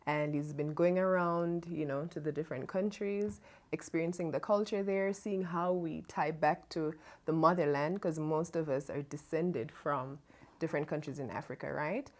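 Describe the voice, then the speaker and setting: A woman's voice: sits low, moderate pace. A Jamaican woman co-hosting a casual chat, talking freely and laughing easily. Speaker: co-host.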